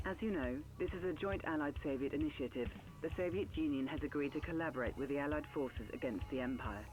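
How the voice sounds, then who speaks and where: An actor playing a businesslike military briefing officer in a strategy game, speaking in a crisp, official manner. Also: male voice, fairly high pitch, fast, a little mellow, lightly acted, low-quality recording. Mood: formal.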